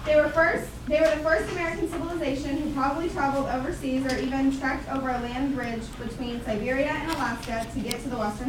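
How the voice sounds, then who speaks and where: A woman's voice: high-pitched, close to an everyday voice. A woman playing an instructive schoolteacher in a scripted puppet show. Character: schoolteacher lecturing on ancient civilizations, brisk and instructive. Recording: roomy echo, distant mic, clear noise.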